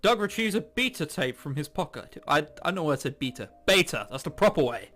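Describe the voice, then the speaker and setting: Male game commentator, bright and high, incredulous and joking, music underneath. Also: big pitch swings, brisk. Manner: incredulous, jokey exclamations. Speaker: game streamer host. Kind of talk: commentary while reading a visual novel aloud. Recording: music playing behind. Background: game background music.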